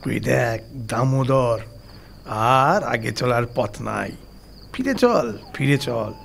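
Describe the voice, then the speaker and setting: A man playing a learned Brahmin gentleman in an audio story, speaking in a solemn, drawn-out manner. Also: medium pitch, lively intonation, creaky, a little hiss or hum, exaggerated voice. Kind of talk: audio story dramatic dialogue.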